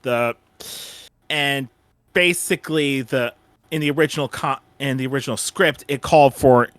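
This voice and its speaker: A man's voice: medium pitch, normal speed, a bit bright, no echo. A man hosting a casual chat, stammering and repeating words as he explains. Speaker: audio drama creator and host.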